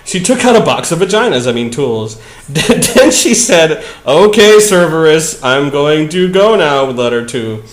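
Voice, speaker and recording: A man doing a comedic dramatic reading of bad fan fiction, with deadpan asides and casual, giggly banter. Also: a little high, measured pace, echoey room.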